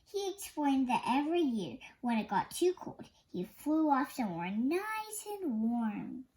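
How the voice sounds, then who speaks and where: A child reading a picture book story aloud, halting at times and correcting slips along the way. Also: high voice, very animated, a little mellow. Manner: halting young reader, stumbles and self-corrects. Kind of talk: read-aloud story narration.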